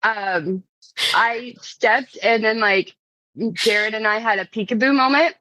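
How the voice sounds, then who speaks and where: A woman's voice: on the high side, slow pace, bright, forceful tone, no room sound, poor audio. A giggly woman guest on a podcast, telling personal anecdotes in a casual, chatty way.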